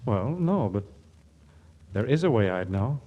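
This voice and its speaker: An actor playing an earnest, bewildered boss who has been jilted, in a 1940s radio comedy style. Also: male voice, fairly low pitch, very animated, unhurried, narrow, tinny audio. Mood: hesitant. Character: earnest jilted boss, indignant, slow to catch on.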